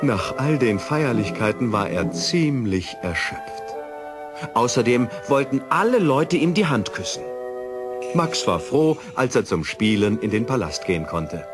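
A man reading a children's story as a storyteller, in an expressive, theatrical way. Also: medium pitch, a little slow, music in the background. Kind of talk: scripted children's story narration.

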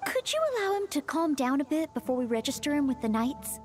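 High, earnest young maid. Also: female voice, animated, quick pace, soft background music. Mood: courteous, eager. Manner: formal, courteous, gentle, dutiful. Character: polite, earnest young maid devoted to serving guests. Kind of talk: scripted video game voice acting.

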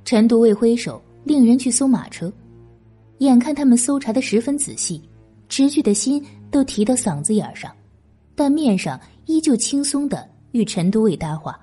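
Husky, expressive woman narrator. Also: fairly high pitch, normal speed. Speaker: audiobook narrator. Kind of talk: scripted narration of a historical novel. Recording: background music. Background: music.

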